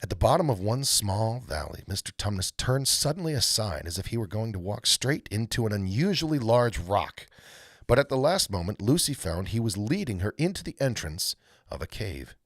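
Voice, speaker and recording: A man reading a storybook aloud as an expressive narrator. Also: fairly low pitch, normal speed, no echo, studio quality. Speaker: read-aloud host, storyteller.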